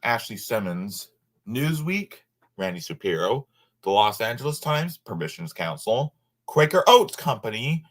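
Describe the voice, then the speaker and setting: A man reading a nonfiction book aloud informally, sometimes halting over words and adding asides. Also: fairly low pitch, very animated.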